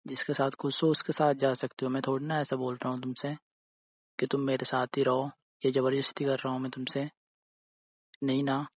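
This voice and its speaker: A man acts out a young boyfriend in a phone-call drama, hurt and jealous. His delivery is flat and narrow in pitch, sulky and repetitive, pressing each accusation with little tag questions. The audio is dull and lo-fi. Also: normal speed, husky, close to an everyday voice. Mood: bitter, accusing. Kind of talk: acted phone-call drama in casual conversational speech.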